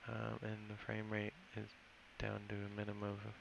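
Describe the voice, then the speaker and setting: A low-pitched American man talks through his game project, thinking aloud, trailing off and correcting himself. His voice is heavily gravelly and stays flat. The recording is dull and lo-fi, with steady hiss behind him. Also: moderate pace. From California. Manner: rambling, self-correcting, thinking aloud while working. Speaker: game developer. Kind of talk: casual screen-recorded dev log.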